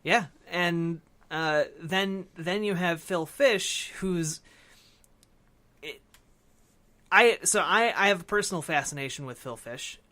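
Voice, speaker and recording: A man's voice: high voice, takes it slowly, bright, forceful tone. A man co-hosting a casual podcast discussion, feeling out his phrases with lots of "like". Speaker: podcast co-host. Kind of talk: casual discussion of a film and games.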